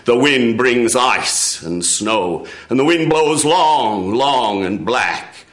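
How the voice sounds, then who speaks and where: Very slow, theatrical male storyteller. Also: mid-range voice, lively intonation, a bit bright. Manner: incantatory, theatrical, with repetition. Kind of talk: dramatic live storytelling, folk tale narration. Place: radio studio before a live audience. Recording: roomy, echoing live radio studio.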